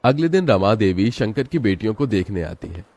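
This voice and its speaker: Deep-voiced male story narrator. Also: moderate pace. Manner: steady, dramatic storytelling.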